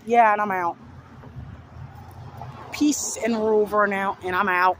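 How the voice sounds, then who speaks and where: A hoarse young man with a sore throat rambling through repeated simple lists in a casual vlog, filmed outdoors beside a road on a phone held at arm's length. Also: high-pitched, steady tone, a little slow. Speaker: vlogger. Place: outdoors on a fenced overpass beside a busy road. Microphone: phone held low at arm's length. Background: faint passing traffic.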